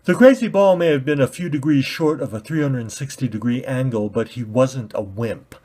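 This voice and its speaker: A man reading his own children's-style story in an expressive storytelling manner, as an amateur author. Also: medium pitch, moderate pace.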